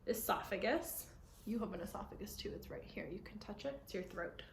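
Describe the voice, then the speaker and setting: A woman's voice: mid-range voice, lively intonation, fairly quick, vocal fry. A woman reading a picture book aloud for children, adding informal explanations as she goes. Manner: child-directed, informal commentary. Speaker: children's read-aloud reader. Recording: roomy echo, faint background noise.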